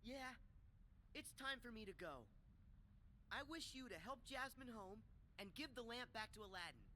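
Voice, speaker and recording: A man's voice: very high voice, lively intonation, moderate pace, pushed and bright, slight background noise, full character voice. A man voicing an earnest, eager young boy hero, loyal and cheerful, in a video game. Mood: warm gratitude. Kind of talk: video game cutscene voice acting.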